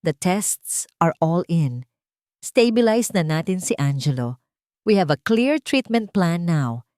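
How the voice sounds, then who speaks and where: A woman voicing a calm male doctor. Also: fairly low pitch, slow, no room sound, studio quality. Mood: reassuring.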